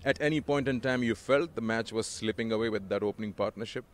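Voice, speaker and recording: Measured male cricket presenter outdoors. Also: fairly low pitch. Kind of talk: post-match interview and sign-off.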